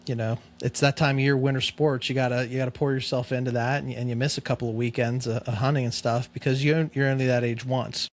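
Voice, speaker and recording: A man's voice: mid-range voice, flat delivery, fast talker, hardly any pauses. A man hosting a podcast, chatting casually and rambling, with many repeated words and "you know"s. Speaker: podcast host. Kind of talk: casual chat.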